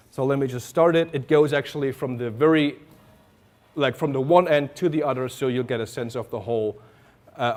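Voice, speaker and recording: Hesitant man lecturing. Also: medium pitch. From Germany. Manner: hesitant, many fillers and restarts. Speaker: visiting artist lecturer. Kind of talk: informal lecture to students. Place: classroom at an art academy.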